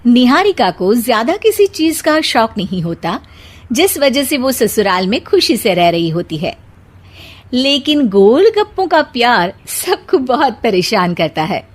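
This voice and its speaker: A woman's voice: a little high, big pitch swings, unhurried. A woman narrating a scripted cartoon story as the storyteller. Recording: faint music behind the voice.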